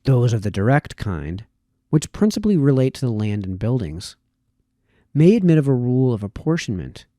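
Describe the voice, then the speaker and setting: Male reader for formal essays: soft-edged, mellow tone, deliberate phrasing, close dry recording. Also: mid-range voice, sing-song, no room sound. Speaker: reader, host of a reading series. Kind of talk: reading aloud formal historical essays.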